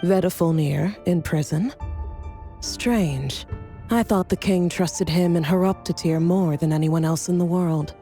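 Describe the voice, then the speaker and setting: A woman's voice: fairly low pitch, a little slow, dark tone, soft background music. An actress playing a shrewd, sardonic woman in a fantasy game, talking in a cool, dry, pointed way. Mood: suspicious, curious. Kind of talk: dramatic video game voice acting.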